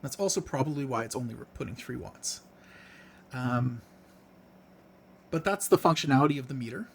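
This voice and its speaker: A man's voice: medium pitch, lively intonation, moderate pace, creaky, a little hiss or hum. A man presenting a hands-on gadget demonstration in a casual, unscripted way, explaining things in plain, informal words.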